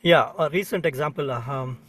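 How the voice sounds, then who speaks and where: A man's voice: fairly high pitch, fairly quick, no echo, far from the mic. Hesitant man with an Indian accent. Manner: hesitant, many fillers, soft-spoken. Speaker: participant. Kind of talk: personal sharing in a group session.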